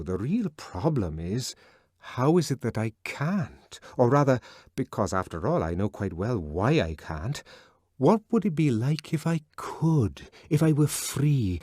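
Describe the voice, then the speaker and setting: A man reading an audiobook and voicing a brooding, discontented young man who talks earnestly and at times shouts. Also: medium pitch, sing-song, takes it slowly, no room sound, clean studio sound, voice pushed up. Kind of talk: audiobook character voice.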